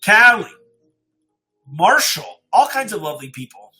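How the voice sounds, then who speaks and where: A man's voice: sits high, big pitch swings, a little slow, bright, forceful tone, soft background music. A man hosting a livestream in a casual, informal, chatty way, talking appreciatively with his viewers. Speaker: livestream host and story reader.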